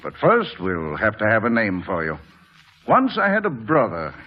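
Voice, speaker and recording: A man's voice: lowish voice, huge pitch swings, moderate pace. An actor playing a kindly but firm father in an old radio drama. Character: kindly, firm father taking in an orphan boy. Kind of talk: 1940s radio drama acting. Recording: muffled, dated radio broadcast.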